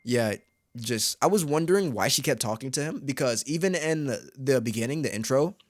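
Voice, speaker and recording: A man's voice: mid-range voice, animated, a little fast, a little hiss or hum. A man reading a visual novel aloud as a streamer, mixing dramatic narration with casual commentary, his voice a little tired. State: voice tiring.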